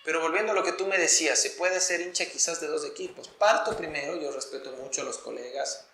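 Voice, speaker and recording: A man's voice: a little high, fast talker, bright, forceful tone, echoey room. An Ecuadorian man speaking as an interview guest, explaining his views and experiences in an earnest, articulate, conversational way. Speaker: interview guest, sports journalist.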